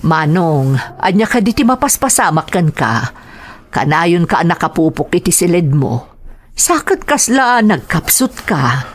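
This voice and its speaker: A woman playing a gentle, concerned middle-aged mother in a family audio drama, patient and pleading in manner. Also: fairly low pitch, sing-song, measured pace.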